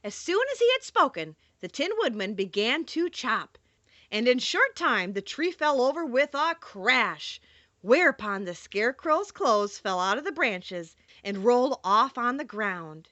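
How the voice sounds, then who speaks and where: A woman narrating a children's storybook in an expressive, theatrical read-aloud style. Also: fairly high pitch, a little slow, dry recording. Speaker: audiobook narrator.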